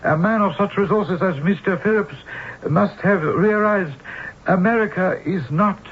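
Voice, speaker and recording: A man playing a courteous but ominous gentleman in a radio mystery, speaking formally and haltingly. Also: sits high. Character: courteous, ominous Japanese gentleman warning of cursed bells. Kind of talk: 1970s radio mystery acting. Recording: muffled phone-like old radio with hiss.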